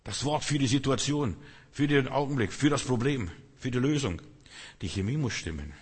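A man's voice: medium pitch, animated, moderate pace, breathy edge, big-room sound. A man preaching a sermon in German, which is not his first language, talking to the congregation in a conversational way and often asking "verstehst du?". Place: church hall.

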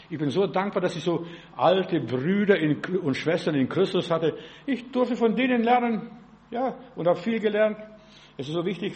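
A man in his late seventies from the former Soviet Union preaching a sermon in an exhorting, repetitive manner, as a with frequent short affirming tags. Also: high-pitched.

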